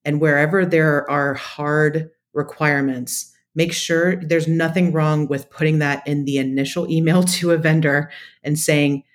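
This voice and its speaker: A woman's voice: low-pitched, steady tone, a little slow. A woman speaking as an interview guest, giving conversational, practical advice in a methodical, explanatory way. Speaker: podcast guest, data practitioner.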